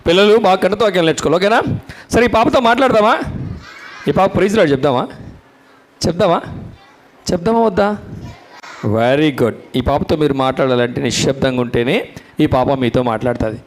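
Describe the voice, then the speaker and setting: A man's voice: a little high, quick pace, long pauses. A man hosting a live children's puppet show, coaxing the kids and repeating back what he hears. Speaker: puppet show host at children's Bible school.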